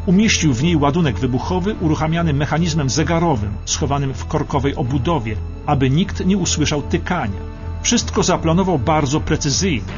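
A man narrating a scripted history documentary for radio in a precise, emphatic, dramatic storytelling manner. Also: fairly high pitch. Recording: slightly dull radio broadcast, music behind. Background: background music.

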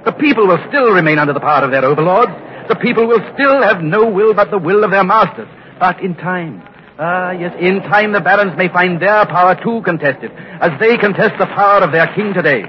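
Radio drama role: penniless, sardonic scholar male actor, high-pitched, theatrical orator, vintage lo-fi sound. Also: a little fast, natural voice. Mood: declaiming, prophetic. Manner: theatrical, rhetorical, mocking. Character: sardonic, grandiloquent poor medieval scholar. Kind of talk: 1950s radio drama acting. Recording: dull, noisy vintage radio recording.

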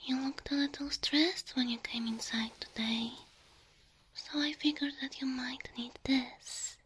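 Slow, breathy, high-voiced woman doing ASMR. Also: steady tone. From Slavic Eastern Europe. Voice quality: soft, breathy. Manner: tender, slow, coaxing. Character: gentle, doting, submissive young cow-girl girlfriend. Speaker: ASMR roleplay performer. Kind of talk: ASMR roleplay. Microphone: close microphone.

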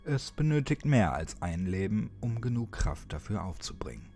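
A man narrating a horror story as a dramatic audiobook, addressing the listener ominously with pauses and occasional near-whispers. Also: lowish voice, sing-song, darkish tone. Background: music.

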